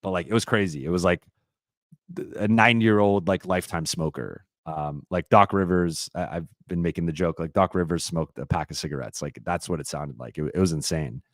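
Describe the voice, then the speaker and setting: A man hosting a live-stream Q&A, talking casually and rambling with lots of fillers and restarts, his voice a little raspy. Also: deep voice, brisk, no echo, studio quality. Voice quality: slightly raspy voice.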